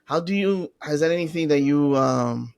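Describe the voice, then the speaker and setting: A man with a Brazilian Portuguese accent hosts a casual podcast interview. He talks with eager excitement and circles around his questions, restarting often. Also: a little high, moderate pace, gravelly. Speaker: podcast host.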